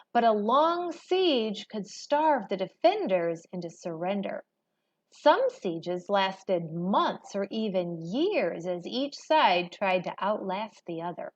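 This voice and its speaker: A woman reading a history textbook aloud as a teacher, in a measured, expository manner. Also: medium pitch. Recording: dull, lo-fi recording.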